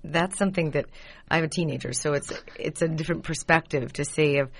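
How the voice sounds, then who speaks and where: A middle-aged woman with a lowish voice hosts the interview in a relaxed, chatty way. She asks short questions and adds personal asides, laughing softly. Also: fast, vocal fry, husky. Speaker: interviewer host. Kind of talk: casual interview chat.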